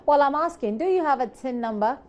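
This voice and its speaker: Mellow-voiced Ghanaian woman presenting. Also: high-pitched, animated, brisk. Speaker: TV news presenter interviewing guest. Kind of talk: conversational studio interview.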